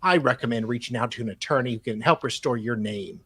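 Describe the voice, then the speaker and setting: A man with a medium-pitched voice reads correspondence aloud on a live show. He performs it as a dramatic reading and drops in casual asides as a co-host. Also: fast, fairly bright tone, breathy edge.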